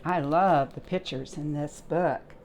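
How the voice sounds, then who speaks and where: A woman's voice: low voice, takes it slowly, a little mellow, lo-fi sound, slight background noise. A woman leading a library storytime, chatting encouragingly to young children and asking them simple questions as she reads aloud.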